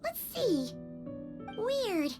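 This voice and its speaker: A woman voicing a tiny, excitable, childlike fairy companion in a video game, chatty and exclamatory. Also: very high voice, drawn-out words, loud music behind the voice, full character voice. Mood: puzzled. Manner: speaks of self in third person, exclamatory.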